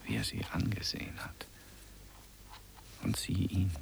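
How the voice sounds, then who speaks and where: A resentful, aloof man with a very low, gravelly voice. He broods in a hushed inner monologue for a radio play. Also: fairly even intonation, unhurried, whispered, exaggerated voice. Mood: resentful, brooding.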